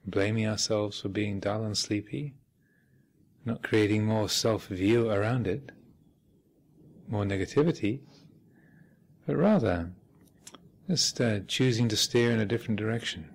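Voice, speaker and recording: A man speaking as a meditation teacher, giving measured guided instruction with thoughtful pauses and gentle phrasing. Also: lowish voice, creaky. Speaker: Buddhist monk and meditation teacher leading a retreat. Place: meditation hall at a retreat center.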